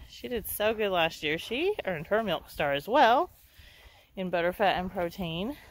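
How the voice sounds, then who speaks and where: A goat breeder gives a casual, chatty farm tour, laughing softly and talking to her goats as she walks around an outdoor pen. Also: on the low side, a little hiss or hum. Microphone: handheld camera.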